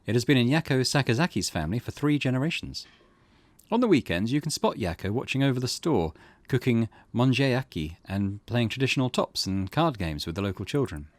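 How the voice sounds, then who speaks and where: A man's voice: mid-range voice, sing-song, fairly quick, no room sound. A man hosting a gaming video, reading out a visual novel as narrator. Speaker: gaming host.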